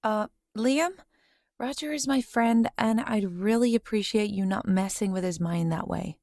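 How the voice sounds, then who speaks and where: A male narrator voicing an earnest young woman in a soft, breathy falsetto for an audiobook. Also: unhurried, dry recording, studio quality. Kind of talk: audiobook character voice.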